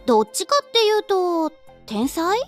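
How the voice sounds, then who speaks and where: Gossipy teenage schoolgirl, very high voice, lively swoops, anime game, light music behind. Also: brisk. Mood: gossipy, impressed. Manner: animated, gossipy. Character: chatty, knowing schoolgirl classmate in an anime game. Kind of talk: Japanese visual novel voice acting.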